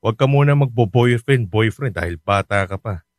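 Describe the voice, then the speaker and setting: A man's voice: lowish voice, animated, normal speed, dry recording, clean studio sound, close to an everyday voice. A man playing a strict, worried father on a long-distance call, scolding in a radio drama. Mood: dismayed. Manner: scolding, stern, exasperated.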